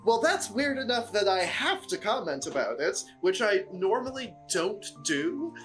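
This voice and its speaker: A woman's voice: mid-range voice, big pitch swings, measured pace. A woman chatting casually in a behind-the-scenes Q&A, wry, understated and self-deprecating. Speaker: story editor and cast member. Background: instrumental music, clearly heard.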